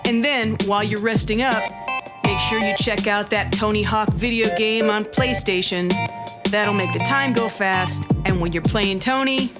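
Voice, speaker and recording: A woman's voice: medium pitch, moderate pace, pitched above the performer's own voice, close to an everyday voice. An American woman voicing a slangy, bossy skateboarder dude who coaches a would-be pro in a scripted monologue. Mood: chummy advising. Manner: slangy, hectoring pep talk. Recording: loud guitar music under the voice. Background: guitar music.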